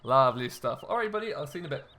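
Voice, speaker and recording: A man's voice: medium pitch, lively intonation, very fast, pushed and bright. A man hosting a gaming video, giving casual role-play commentary as he plays a farming simulator. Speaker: host of a gaming video series.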